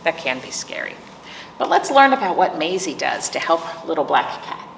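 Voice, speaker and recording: A woman's voice: low-pitched, big pitch swings, moderate pace, vocal fry, fairly bright tone. A woman hosting storytime, reading picture books aloud to young children with simple explanations and questions. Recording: roomy, distant mic, faint noise.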